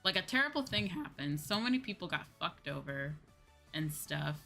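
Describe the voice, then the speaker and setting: Bright-voiced woman chatting casually on stream. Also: lowish voice, sing-song, normal speed, gravelly, soft background music. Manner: informal ranting, slangy and profane. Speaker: VTuber livestream host. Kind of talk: casual livestream chat.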